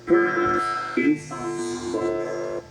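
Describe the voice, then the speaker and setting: A woman voicing an eerie, faceless animatronic monster in theatrical horror game lines, mocking and drawn-out. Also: rumbling low, vocal fry, heavily acted. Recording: echoing, loud guitar music behind.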